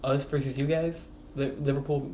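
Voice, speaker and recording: A young man co-hosting a casual soccer podcast, animated and swearing freely, often restarting his sentences. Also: medium pitch, normal speed, reverberant, a crowd in the background. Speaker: podcast co-host. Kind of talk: casual sports chat.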